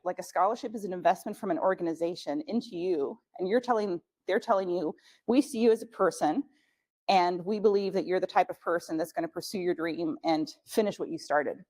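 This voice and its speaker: A young woman, a native German speaker, gives candid tips at a scholarship workshop. Her voice sits in the middle of the range, and her talk is casual and loose, full of fillers and quick restarts. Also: medium pitch, darkish tone. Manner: chatty, frank, many fillers.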